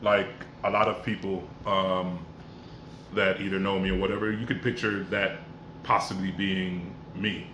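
A man in his forties, born in Jamaica and raised in Miami, speaks in a very low voice. He tells his story in an earnest, reflective way. The room is echoey, with clear background noise. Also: flat delivery, a little slow, a bit bright. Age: 40s. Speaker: podcast guest. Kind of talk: casual conversational podcast.

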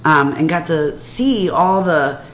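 A woman in her fifties co-hosting a casual podcast, her voice a bit hoarse and raspy, chatting and laughing easily. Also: sits low, lively intonation, slow, a little hiss or hum, poor audio. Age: 50s. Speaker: podcast co-host. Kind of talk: casual chat.

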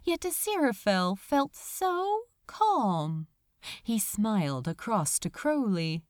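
A woman reading fiction aloud in an expressive, audiobook-style narration. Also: mid-range voice, takes it slowly, dry recording, studio quality. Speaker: fan fiction reader.

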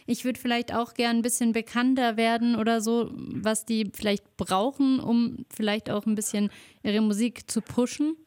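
A woman's voice: on the high side, fairly even intonation, normal speed, darkish tone, clear, resonant tone. A woman interviewing a musician in casual German, friendly and laughing often.

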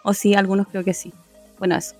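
A woman's voice: medium pitch, level tone, quick pace, darkish tone, faint music behind. A woman, a language teacher chatting candidly. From Chile. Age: 20s. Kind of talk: casual group chat.

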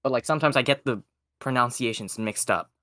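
A young man whose first language is Cantonese, chatting casually and hesitantly, often pausing to search for words. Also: mid-range voice, pushed and bright. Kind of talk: casual chat.

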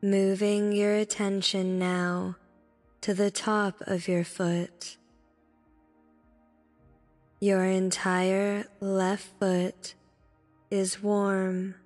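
A woman narrating a guided meditation in a soothing, deliberate manner, speaking in short instructive phrases. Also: mid-range voice, level tone, darkish tone. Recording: long reverb with background music. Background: music.

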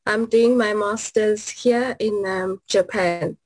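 A woman's voice: mid-range voice, steady tone, slow pace, a little mellow, rough recording. A woman from South Africa, a master's student, answering interview questions earnestly and explaining with examples.

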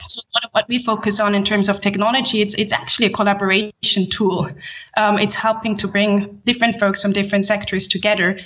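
A woman's voice: mid-range voice, level tone, brisk, a bit bright. Woman guest on a dull, band-limited line. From born in Haiti, grew up in Switzerland. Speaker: podcast guest, ESG executive. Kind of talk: explanatory interview talk in professional terms.

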